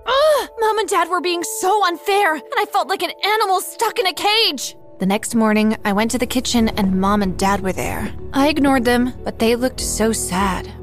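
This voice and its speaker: A woman's voice: medium pitch, moderate pace, few pauses, soft background music, natural voice. A woman playing an earnest, defiant teenage schoolgirl who narrates her own story and acts out her dialogue. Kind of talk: first-person story narration with acted dialogue.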